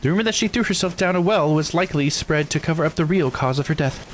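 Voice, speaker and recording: A man's voice: a little high, fast talker, slight background noise, pitched above the performer's own voice, lightly acted. A man voicing a self-satisfied, showy storyteller from a visual novel game as they explain old secrets. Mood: smug, eager.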